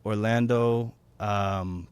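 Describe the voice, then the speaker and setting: A man with a fairly low voice speaks as a guest in a relaxed interview. He talks in a halting, conversational way, doubling back to rephrase his thoughts. The sound is dry, with hardly any room on it. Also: clean tone, no room sound. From Florida, United States. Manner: halting, self-correcting, restarts thoughts often. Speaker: guest, stand-up comedian.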